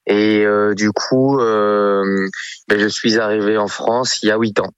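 A man's voice: lowish voice, flat delivery, unhurried, smooth, no echo, muffled recording. A man from Belgium with a faint Belgian accent, answering casually and hesitantly as a guest in a radio interview. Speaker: interview guest, real estate agent.

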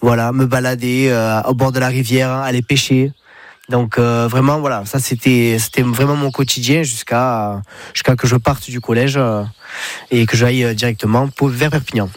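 Guy in his 30s, southern french accent, halting chatty answers, dry close sound. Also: medium pitch, steady tone, no echo. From Pyrénées-Orientales, France. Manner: hesitant, many fillers and restarts. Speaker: guest, espadrille brand founder. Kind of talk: casual interview.

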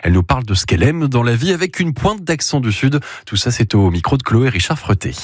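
A man's voice: mid-range voice, sing-song, fast talker, very noisy. A man presenting a morning radio show as host.

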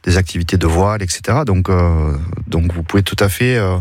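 A man's voice: deep voice, fairly quick, no echo. A man speaking as a guest on a radio show, earnestly promoting the region with some hesitation. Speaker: tourism guest.

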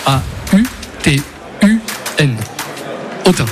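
A man's voice: on the high side, expressive, slow. A man hosting a radio quiz in a chatty, playful, teasing and informal way. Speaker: radio game show host. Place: on location at a trade fair. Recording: music behind the voice.

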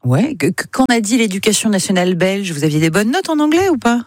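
A woman's voice: mid-range voice, very animated, fast, a little mellow, no room sound, studio quality. A woman hosting a radio music interview in a casual, playful, teasing and enthusiastic way. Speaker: radio show host interviewing a guest.

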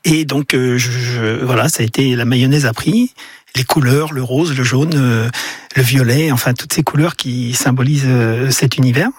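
Un homme d'âge mûr, originaire de Paris, invité d'un studio de radio, parle de son travail de peintre avec beaucoup d'hésitations. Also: male voice, mid-range voice, normal speed, slightly rough, no room sound. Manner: hesitant, many fillers and restarts. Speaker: painter and guest. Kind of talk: radio interview answers. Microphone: studio microphone.

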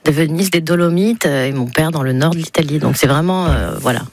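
A woman from Nice, a singer and actress, chatting as a guest on a radio show, warm and quick to laugh. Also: low voice, no room sound, clean studio sound. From Nice, France. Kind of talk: radio interview.

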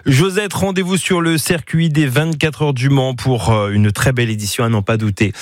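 A man's voice: medium pitch, steady tone, normal speed, dry recording, studio quality. A man hosting local radio, chatting with a caller in a joking, teasing way with frequent hesitations. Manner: jokey and teasing, with many hesitations. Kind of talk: live radio chat with a caller.

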